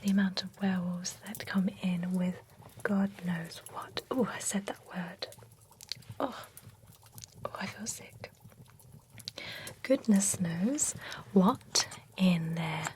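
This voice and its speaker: A fussy female vampire spa groomer, played by a woman, whispers close to the mic in an ASMR roleplay. She gossips primly in a slow, unhurried drawl. A clear steady hiss sits under the whispering. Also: fairly low pitch. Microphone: close sensitive ASMR mic.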